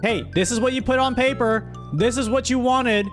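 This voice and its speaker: Guy streamer w a high voice, chatting and mock exasperated, music in the back. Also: moderate pace. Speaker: streamer hosting a chat story-writing game. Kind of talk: casual livestream chat. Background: background music.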